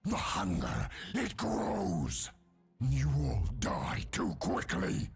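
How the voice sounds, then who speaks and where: A man's voice: medium pitch, wildly swooping pitch, very slow, faint music behind, exaggerated voice. A man voicing a hulking, savage undead warlord for a video game, in a guttural, menacing growl full of war cries. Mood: bloodthirsty. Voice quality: gravelly, guttural, husky growl. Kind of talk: video game character voice lines.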